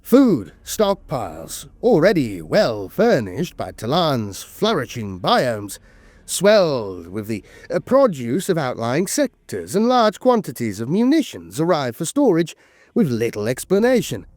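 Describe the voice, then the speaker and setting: Solemn male narrator with sweeping pitch. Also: wildly swooping pitch, a little slow, darkish tone, dry recording. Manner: grave, dramatic storytelling delivery. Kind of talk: scripted lore narration.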